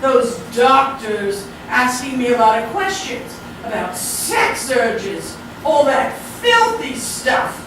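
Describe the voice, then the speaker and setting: A woman's voice: a little high, animated, takes it slowly, few pauses. A woman playing a hysterical, prim and indignant society mother in a live radio-play comedy, melodramatic and wailing. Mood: scandalized. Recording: echoey hall, far from mic, noisy.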